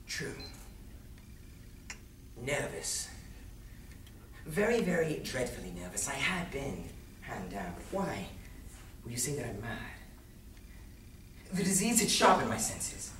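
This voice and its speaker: A man playing a nervous, gleeful madman confessing to murder in a theatrical stage recitation, swinging between hushed and manic delivery. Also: mid-range voice, big pitch swings, takes it slowly, pauses often, voice pushed up. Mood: nervous, insistent, then manic, excited. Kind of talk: dramatic solo stage recitation of a gothic story. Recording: roomy, distant stage recording with noise.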